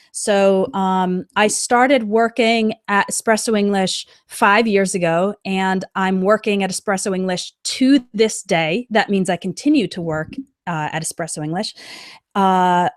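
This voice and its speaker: A woman teaches a live English lesson, slowing down to model example sentences clearly. Her voice sits in an ordinary middle pitch. It is recorded close on the mic, with a dry sound and no room echo.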